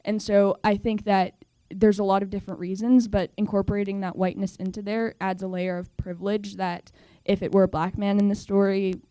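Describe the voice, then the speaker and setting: A woman's voice: mid-range voice, little pitch movement, brisk, few pauses, dark tone, dry recording. Wry, analytical teenage girl. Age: teens. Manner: opinionated, analytical, with a wry edge. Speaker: student panelist. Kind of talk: panel discussion answer.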